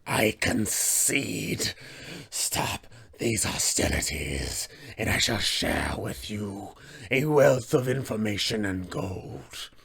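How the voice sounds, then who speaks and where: A menacing serpent-folk sorcerer, grandiose and near death. The words come very slowly and drawn out, with the weight of a tabletop fantasy villain who expects to be obeyed. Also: male voice, lowish voice, gravelly, a bit bright, slightly rough, full character voice.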